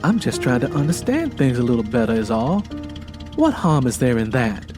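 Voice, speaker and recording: A man voicing a weary, plainspoken young pregnant farm wife in an audiobook, with a plaintive, gently challenging manner. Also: medium pitch, big pitch swings, normal speed, over music, lightly acted. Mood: puzzled, challenging. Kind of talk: dramatized audiobook character dialogue.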